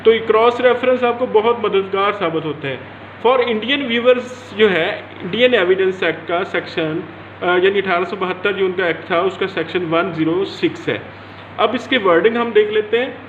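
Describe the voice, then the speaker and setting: A man's voice: sits high, normal speed, a bit bright. A man from Pakistan with a Pakistani accent giving an explanatory law lecture, restating his points in a didactic way and mixing in English legal terms. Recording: roomy echo, dull lo-fi sound, faint noise.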